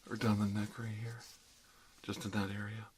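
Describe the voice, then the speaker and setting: A man playing a kindly older doctor in an ASMR role-play, speaking softly in an unhurried, hesitant, reassuring murmur. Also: on the low side, level tone, very noisy, close to an everyday voice. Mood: attentive, murmuring. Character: kindly older male doctor doing emergency dental work.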